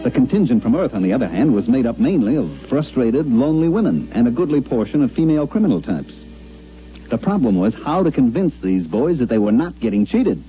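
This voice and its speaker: A male actor plays a glib, scheming con man from a 1950s radio drama, wry and smooth-talking. His delivery swings in lively melody, on a muffled old broadcast with music around him. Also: medium pitch, fairly quick, natural voice. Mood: wry narrating. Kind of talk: 1950s radio drama acting with first-person narration.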